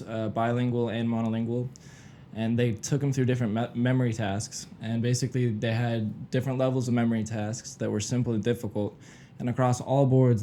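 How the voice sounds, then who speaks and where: A man's voice: medium pitch, dark tone, slight background noise. A man hosting a podcast in a loose, casual way with lots of fillers. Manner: loose, filler-heavy talk. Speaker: podcast host.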